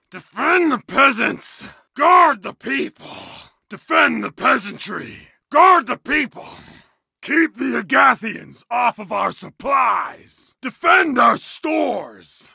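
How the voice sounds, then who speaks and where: An actor playing a savage bearded medieval berserker in a game, barking battle commands in a gravelly, raspy roar. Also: male voice, extremely high pitch, sharp tone, no room sound, clean studio sound, exaggerated voice. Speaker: game soldier character.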